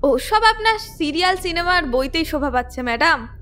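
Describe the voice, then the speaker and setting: A woman's voice: high voice, normal speed. An actress voicing a vengeful young woman who coldly and defiantly confesses to killing an abusive man, in an audio crime drama. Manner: bitter, defiant, coldly calm. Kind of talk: Bengali audio drama acting.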